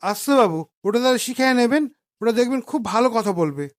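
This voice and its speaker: A man's voice: very high-pitched, animated, fast talker, no room sound, studio quality. A man voicing a deferential, coaxing bird seller in a cartoon. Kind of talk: cartoon voice acting.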